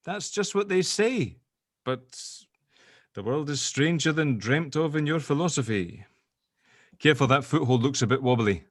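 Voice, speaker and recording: A man playing a protective middle-aged dad in an audio drama table read, chatty, hesitant and gently awkward. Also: a little high, expressive, moderate pace, no echo, studio quality. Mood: chatty, teasing.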